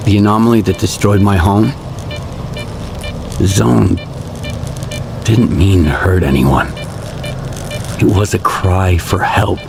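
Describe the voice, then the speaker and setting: An actor playing a resolute, stoic male loner in a video game cutscene, with a terse, grave, measured delivery. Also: sits low, gravelly, noisy background, heavily acted. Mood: grave, resolved. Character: resolute, stoic male lone stalker of the wasteland.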